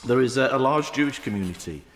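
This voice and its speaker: A man in his fifties with a Manchester accent, giving a halting parliamentary debate speech with many fillers and restarts. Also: medium pitch, expressive, a bit bright. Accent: Mancunian. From England. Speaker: member of the House of Lords. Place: large echoing debating chamber. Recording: roomy chamber with long reverb.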